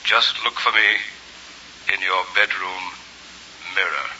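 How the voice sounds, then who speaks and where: Casting: an ominous, taunting devil figure for radio horror, very sharp forceful tone, muffled vintage sound. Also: male voice, low-pitched, fairly even intonation, slow pace, heavily acted. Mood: commanding. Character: sinister, commanding devilish bargainer who offers life for a price. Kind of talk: old-time radio horror drama acting. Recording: muffled old radio recording with light hiss.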